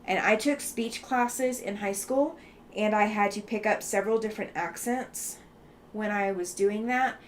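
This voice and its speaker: A woman's voice: medium pitch, measured pace, a little hiss or hum. A woman from the Southeastern US with a faint Southeastern accent, hosting a casual livestream and chatting back to viewer comments. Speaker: livestream host.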